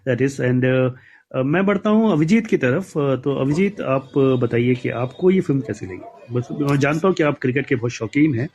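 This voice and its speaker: A man hosting an informal discussion panel, switching between Hindi and English with hesitant, halting phrasing. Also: mid-range voice, darkish tone. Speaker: host. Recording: faint background noise with clicks.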